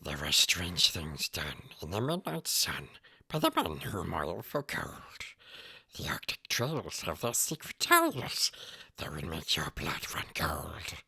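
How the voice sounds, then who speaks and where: Casting: male actor as wretched little fantasy creature, twitchy and whining. Harsh, forceful strained rasp, erratic swoops, slow dramatic recitation, close dry sound. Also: low voice, dry recording, exaggerated voice. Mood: eerie storytelling. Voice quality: raspy, croaky, strained throat. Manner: talks to self in plural, erratic swoops. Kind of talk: dramatic poem recitation in character.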